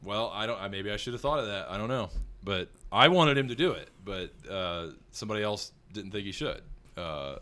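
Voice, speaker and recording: A man in his thirties with a big voice, a filmmaker talking through his thoughts in a casual conversation, halting and restarting as he goes. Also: fairly low pitch, animated, pushed and bright. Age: 30s. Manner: rambling, halting, with frequent restarts. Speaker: filmmaker guest.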